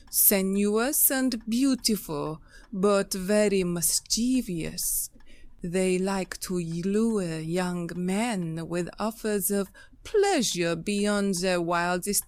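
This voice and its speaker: A woman acts as a mysterious fairy seductress in an audio drama. She speaks very slowly and deliberately in a medium-pitched voice, luring the listener with hypnotic poise. The sound is close and dry. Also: a little mellow, no room sound. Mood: hypnotic, beguiling. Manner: slow, deliberate, hypnotic, enticing. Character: mysterious seductive fairy temptress from a foreign land. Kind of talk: audio play acting.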